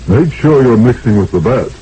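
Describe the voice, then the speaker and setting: A man's voice: mid-range voice, animated, normal speed. A man reading scripted advertising and station identification as a 1960s radio announcer. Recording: mellow, faintly noisy vintage radio recording.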